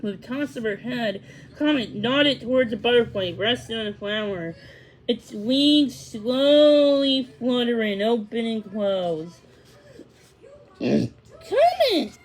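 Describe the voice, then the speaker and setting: A woman's voice: on the high side, measured pace, rough recording. A woman narrating a scripted children's story as a read-along.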